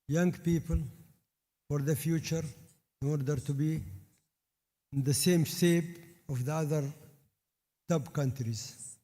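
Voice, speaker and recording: A man chairing a formal meeting speaks slowly in a soft, mellow tone that sits a little high. He stops and restarts words as he goes. His voice rings with long reverb in a large hall. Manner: halting, restarts words, plain phrasing. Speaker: congress chair.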